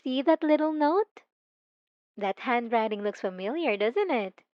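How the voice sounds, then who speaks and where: Obsessive stalker girl played by a woman, soft and teasing, higher voice, slow, dry dull lo-fi audio. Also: expressive, no echo. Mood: possessive. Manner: soft, intimate, teasing with giggles. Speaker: narrator speaking to the listener. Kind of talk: ASMR roleplay.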